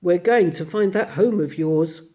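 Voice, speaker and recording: A woman voicing a cheerful, brisk, kindly male water rat in a slightly raised, mellow voice. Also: lowish voice, lightly acted. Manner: cheerful, brisk, matter-of-fact.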